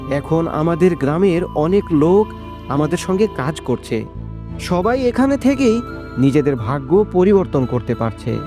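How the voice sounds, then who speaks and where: A man's voice: a little high, very animated, measured pace, music in the background. A man playing an earnest, hardworking village farmer in a moral-story cartoon, reassuring and optimistic. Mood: content, proud.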